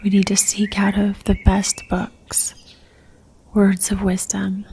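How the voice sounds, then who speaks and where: A woman leading a guided religious meditation in a soft, near-whispered voice, gentle and calm, leaving long pauses. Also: medium pitch, a little hiss or hum.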